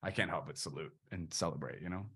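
A man's voice: rumbling low, fast, fairly bright tone, husky. A man hosting a podcast interview, speaking earnestly and with passion, using preacher-like phrasing. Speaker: podcast host. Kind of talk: casual interview chat.

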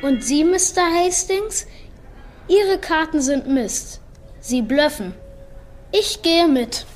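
Cocky, slow-talking young boy. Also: sits high, over music. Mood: cool, confident. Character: young boy, cocky, stubborn poker prodigy. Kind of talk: German audio drama dub acting.

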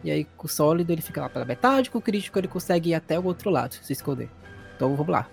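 A man's voice: on the high side, expressive, very fast, vocal fry, soft background music. A man with a Brazilian accent narrating a tabletop role-playing game as game master, colloquial and explanatory.

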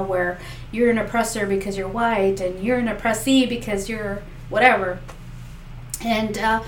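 Woman running for office, spanish first language, lots of uhs and restarts, sounds far off in a roomy space. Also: mid-range voice. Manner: earnest, talks in restarts with many fillers. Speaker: political candidate. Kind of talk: interview answers. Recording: echoey room, mic far off, faint noise.

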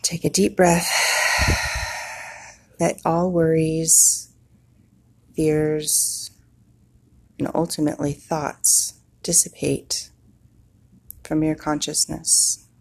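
Woman, meditation guide, low-pitched, breathy and husky, very unhurried, soothing, long pauses. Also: flat delivery, a little mellow.

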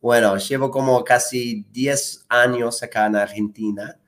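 A man's voice: mid-range voice, fairly even intonation, a little slow, fairly bright tone. A man with an American accent, a native English speaker, chatting eagerly as an interview guest, with many fillers. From United States. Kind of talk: casual interview talk.

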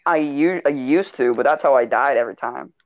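A man chatting loosely on a podcast while he plays a game. His talk comes in quick, rambling bursts full of jokes. His dull, lo-fi mic sits close, with a dry sound and no room behind it. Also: medium pitch, no room sound. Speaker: podcast co-host. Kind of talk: casual banter while gaming.

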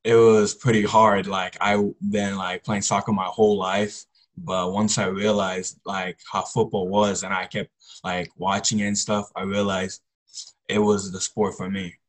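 A teenage male athlete answers interview questions casually. His voice is fairly low and very flat in melody, with little rise or fall as he talks. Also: a little slow. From Congo, later the US Pacific Northwest. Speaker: high school football player, podcast guest.

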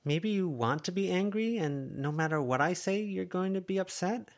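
A man doing a quiet, deadpan teenage girl. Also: a little high, normal speed, voice pushed up. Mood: quiet, flat. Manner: quiet, flat, mildly flippant.

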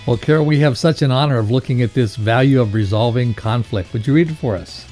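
Mellow-voiced man reading a motivational lesson. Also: medium pitch, fast talker, soft background music. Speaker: co-host reading a values lesson. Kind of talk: read-aloud motivational lesson.

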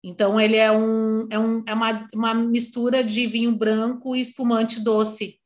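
A woman's voice: on the high side, completely flat delivery, normal speed. A woman interviewing in a casual chat, friendly and encouraging, often murmuring agreement. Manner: friendly, encouraging, frequent backchannel "uhum". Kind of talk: casual conversation.

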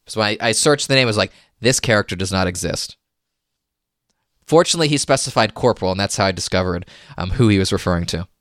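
A man's voice: on the low side, lively intonation, quick pace, fairly bright tone, no echo, clean studio sound. A man hosting a podcast, reading a scripted history segment with casual asides. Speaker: podcast host.

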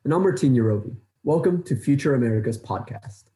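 A man in his twenties, born in Ecuador and raised in Los Angeles, co-hosting a podcast and speaking in an earnest, measured way. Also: mid-range voice, lively intonation, warm tone. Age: 20s. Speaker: podcast co-host. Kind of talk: scripted intro then casual chat. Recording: echoey room.

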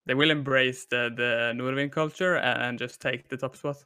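Bright, clear, confident man. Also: medium pitch, steady tone, moderate pace. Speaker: esports team manager, panel guest. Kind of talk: casual chat.

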